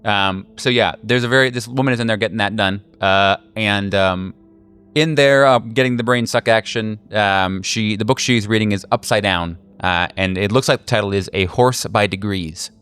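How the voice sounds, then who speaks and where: Low, smooth-voiced man game mastering. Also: a bit bright. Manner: halting, slangy, jokey. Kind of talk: casual improvised tabletop horror narration.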